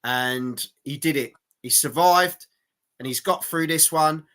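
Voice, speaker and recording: A man hosting a boxing watch-along in casual, blokey, sweary live chat, repeating himself for emphasis, his voice going hoarse. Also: fairly high pitch, unhurried, fairly bright tone.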